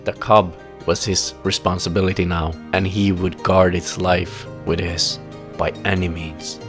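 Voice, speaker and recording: Deep-voiced man narrating dark fantasy. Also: flat delivery, a little slow. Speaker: narrator, storyteller. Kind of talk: dramatic scripted fantasy narration. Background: music.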